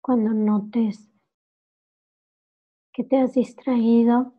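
A woman with a fairly high voice guides a meditation. She gives calm instructions in short phrases and takes her time between them. The recording is somewhat dull and lo-fi. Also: very slow.

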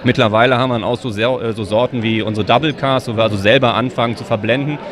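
A man in his thirties answers interview questions in an informal, joking way. His voice sits at an average pitch. He speaks into a handheld mic with a clearly audible crowd around him. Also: fairly even intonation, racing. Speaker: whisky bottler company representative being interviewed. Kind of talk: casual interview chat. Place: whisky fair stand. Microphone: shared handheld microphone. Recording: busy fair crowd behind.

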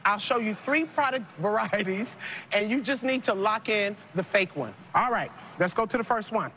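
A woman in her thirties hosting a TV game show, playful and quick with slang and jokes. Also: mid-range voice, a little hiss or hum. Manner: playful, lively, Southern-tinged slang like y'all. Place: large studio set of a supermarket. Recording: TV broadcast over loud music. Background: loud game show music.

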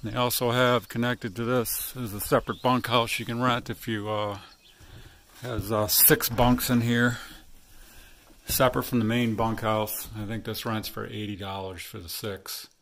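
A middle-aged man casually narrating his hike into a handheld phone, rambling with lots of fillers and pauses. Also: mid-range voice, slight background noise. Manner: rambling, relaxed, many fillers and trailing pauses. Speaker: hiker filming his trail vlog. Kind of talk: casual walking commentary. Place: outdoors at a rural hostel and open hilltop meadows. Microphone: handheld phone camera.

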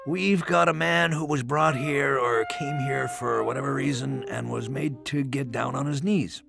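A man's voice: medium pitch, fairly even intonation, normal speed, creaky, over music. Matter-of-fact medical examiner, voiced by a male narrator. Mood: matter-of-fact, grave.

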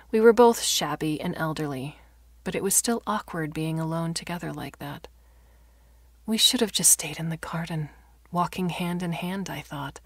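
A woman reading a short story as dramatic audiobook narration, expressive and often softening to a breathy delivery. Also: sits low, no echo, clean studio sound.

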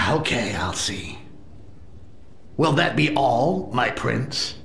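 A man voicing a cunning, sardonic goblin thief in a video game, with a gravelly, wry delivery. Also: medium pitch, animated, drawn-out words, a bit bright, a little hiss or hum, full character voice. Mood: sarcastic. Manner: sarcastic, wry, muttering to himself. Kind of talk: video game character acting.

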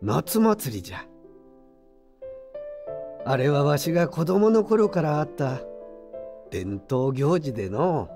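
Man acting an expressive old craftsman. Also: fairly high pitch, takes it slowly, long pauses, over music, exaggerated voice. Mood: reminiscing. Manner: old-fashioned elderly speech, admonishing and reassuring. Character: gruff but kindly old craftsman over sixty. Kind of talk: Japanese audio drama acting.

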